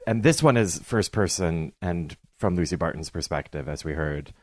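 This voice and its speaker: A man's voice: sits low, expressive, moderate pace, a little mellow, dry recording, studio quality. A man speaking as a podcast guest, giving emphatic, opinionated book criticism in a conversational way.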